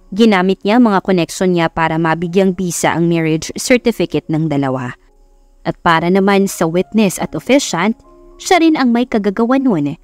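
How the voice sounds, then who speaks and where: A woman's voice: lowish voice, dry recording. A woman with a Filipino accent reading a scripted romance story as a dramatic audiobook narrator. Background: music behind the voice.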